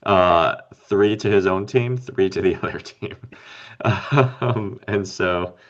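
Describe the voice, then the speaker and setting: Low raspy man, slightly under the weather. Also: takes it slowly, muffled recording. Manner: rambling, thinking aloud with fillers. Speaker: podcast co-host. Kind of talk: casual fantasy game chat.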